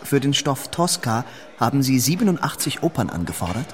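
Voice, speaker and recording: A man's voice: mid-range voice, very animated, brisk, lightly acted. A man playing a questioning, pointed male character in radio play dialogue. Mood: probing, sceptical. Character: probing, dryly sceptical male interviewer. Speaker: interviewer.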